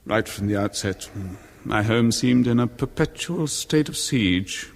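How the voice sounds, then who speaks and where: Pompous, bitter ageing husband, cavernous echo. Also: male voice, mid-range voice, expressive, measured pace, gravelly. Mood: bitter indignation. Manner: florid, scornful, theatrically precise. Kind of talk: 1970s radio horror drama acting. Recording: long reverberant echo on 1970s radio recording.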